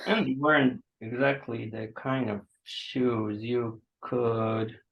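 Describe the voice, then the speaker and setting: A man acting an awkward, apologetic host to a stranger in a staged play reading, halting and understated, on a dull recording. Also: medium pitch, steady tone. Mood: sheepish.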